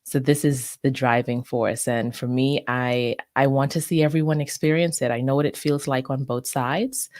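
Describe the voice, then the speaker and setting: A woman's voice: a bass voice, steady tone, normal speed, hardly any pauses, a little mellow, dry recording, studio quality. A middle-aged woman from the Bahamas, a communications advisor who answers interview questions in an earnest, reflective and articulate way.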